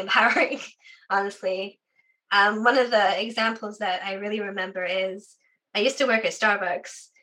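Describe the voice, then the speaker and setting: Interview guest, young adult, from the UK, chatty with filler words, bright clear tone, sore voice. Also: female voice, mid-range voice, poor audio. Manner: chatty, frequent "like" fillers, laughs often. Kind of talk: casual interview conversation.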